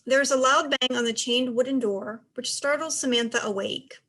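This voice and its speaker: A woman's voice: high voice, normal speed, a bit bright, a little hiss or hum, poor audio. A woman narrator reading stage directions aloud as scripted narration.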